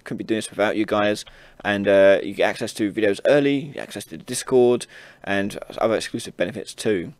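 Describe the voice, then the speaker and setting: A man hosting an informal software tutorial, casually explaining each step as he demonstrates it on a close mic. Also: on the low side, a little fast, hardly any pauses, no echo. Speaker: tutorial host. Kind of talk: informal screen-recorded software tutorial narration.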